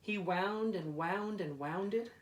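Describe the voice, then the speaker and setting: Slow, expressive male storybook reader, echoey room. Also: sits high. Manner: deliberate, dramatic, drawn-out repeated words. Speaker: school principal reading aloud. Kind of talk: expressive children's storybook read-aloud. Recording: roomy echo, distant microphone.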